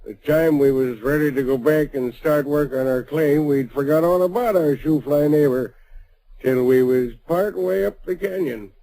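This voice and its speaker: An actor playing an easygoing old-west prospector who narrates and speaks in a folksy drawl in an old-time radio western. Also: male voice, fairly high pitch, moderate pace, warm tone, close to an everyday voice, low-quality recording. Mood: narrating. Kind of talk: 1950s radio drama acting.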